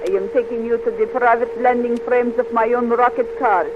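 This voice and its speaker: An actress playing an imperious, possessive young alien princess in a 1930s radio serial. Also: female voice, on the high side, steady tone, fairly quick, natural voice. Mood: alluring. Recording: muffled, noisy old radio serial recording.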